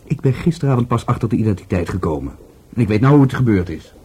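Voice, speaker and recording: Casting: a knowing, stubborn witness, dark rough-edged voice, blunt and sardonic radio play delivery. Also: male voice, fairly low pitch, expressive, fast. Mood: knowing, insistent.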